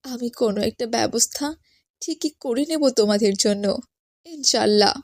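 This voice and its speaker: A woman's voice: a little high, moderate pace, no room sound, studio quality. A woman voicing a poor, humble widowed mother in a cartoon, tender and earnest with her children. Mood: tender, consoling. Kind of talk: Islamic cartoon dialogue.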